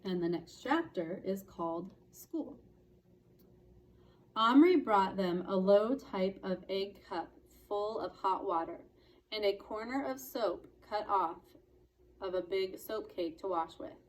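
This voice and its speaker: A woman narrating a children's book expressively, with deliberate, dramatic phrasing. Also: medium pitch, poor audio. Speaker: read-aloud storyteller.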